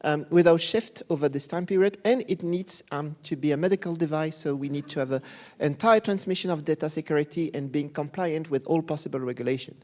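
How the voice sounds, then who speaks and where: Guy giving a talk, slightly high voice, self-deprecating and frank. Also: brisk, few pauses. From Belgium. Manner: candid, self-deprecating, lively presenter. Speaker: conference speaker, professor. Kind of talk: conference talk.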